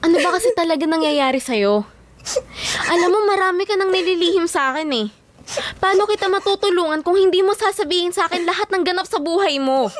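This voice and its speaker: A flustered, loyal young office worker in a Filipino audio drama. Her voice is very high, with an emotional, indignant manner and a Filipino accent. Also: lively intonation, fairly quick. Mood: concerned, insistent.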